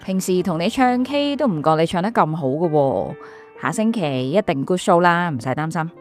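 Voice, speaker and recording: An actress with a Hong Kong accent playing a friendly, polite young woman in calm, casual radio drama dialogue sprinkled with English words. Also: fairly low pitch, moderate pace, faint music behind, natural voice. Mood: relieved, encouraging.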